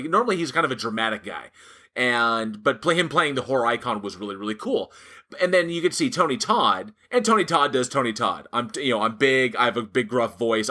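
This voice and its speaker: A man's voice: mid-range voice, sharp tone. A man co-hosting a casual talk about horror films, rambling, swearing freely and laughing often.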